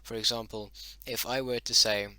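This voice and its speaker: A man whose first language is Dutch-derived talks through a lesson in loose, halting sentences full of ums and restarts. His voice sits fairly low but sounds very bright and forward. It comes across dry, with almost no room sound. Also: fairly even intonation, no room sound. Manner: hesitant, many ums and self-corrections. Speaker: hobbyist teaching his invented language. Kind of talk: casual spoken lesson.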